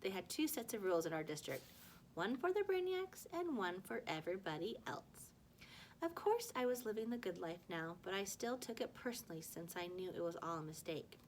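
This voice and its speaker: A woman reads a story aloud in an expressive teacherly way, at a middling pitch. She stumbles on the odd word and corrects herself, and a low rumbling noise sits under the recording. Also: brisk. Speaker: teacher reading a book aloud to a class. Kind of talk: storybook read-aloud with acted dialogue.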